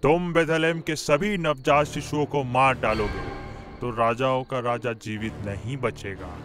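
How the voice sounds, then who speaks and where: A man playing a cruel, suspicious king in a cartoon Bible story, speaking in a commanding, deliberate way. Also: medium pitch, close to an everyday voice. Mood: angry, menacing. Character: cruel, suspicious tyrant king fearing for his throne. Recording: loud background music.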